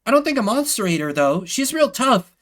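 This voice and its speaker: A man voicing a cheeky, tough, energetic young girl in a video game, speaking in blunt, childlike phrasing. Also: very high-pitched, fairly quick, pitched above the performer's own voice, exaggerated voice. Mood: earnest, indignant.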